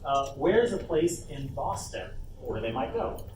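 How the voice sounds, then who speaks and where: A man's voice: fairly high pitch, very animated, a little slow. Man presenting in a noisy, roomy space. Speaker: panel host and presenter. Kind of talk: informal convention workshop presentation. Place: indoor convention panel room. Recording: roomy, distant mic, noisy convention room.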